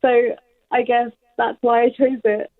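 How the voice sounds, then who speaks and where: A seventeen-year-old British young woman talks as a radio interview guest. Her voice is fairly high and comes through a narrow, phone-like line, in a conversational style. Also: little pitch movement, measured pace, no echo. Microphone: remote call to radio studio.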